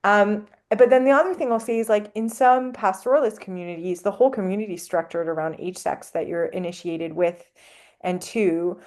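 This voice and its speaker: A woman's voice: mid-range voice, fairly quick, darkish tone. A woman whose first language is French, a guest on a podcast, talking casually with many fillers. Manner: chatty, lots of likes and ums. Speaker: anthropologist guest.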